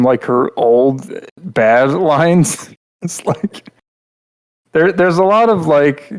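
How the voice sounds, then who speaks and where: A man's voice: mid-range voice, darkish tone, rough recording. A man co-hosting a podcast, giving casual critique in a rambling, blunt way with lots of verbal fillers. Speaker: podcast co-host.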